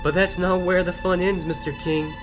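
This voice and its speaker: A man's voice: high voice, little pitch movement, moderate pace, music in the background, close to an everyday voice. Man voicing a menacing, sneering villain duelist. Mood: sinister, gloating. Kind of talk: fan audio drama acting.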